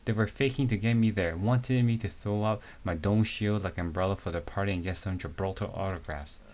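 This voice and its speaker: A man voicing a big, easygoing male rescuer, telling his story in a halting amateur comic reading. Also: lowish voice, a little mellow, natural voice.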